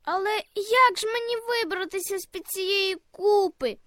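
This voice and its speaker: A woman's voice: very high-pitched, a little slow, sharp tone, dry recording, clean studio sound, pitched above the performer's own voice, full character voice. A woman voicing a tiny, fragile snowdrop flower that talks in a thin, trembling little voice, timid and polite. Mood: pleading.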